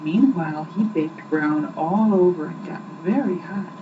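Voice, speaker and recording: A young woman reading children's stories aloud in an expressive, rhythmic storytelling style, on an old muffled home recording. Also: lowish voice, unhurried. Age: young adult. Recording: muffled, noisy 1980s home recording, distant mic.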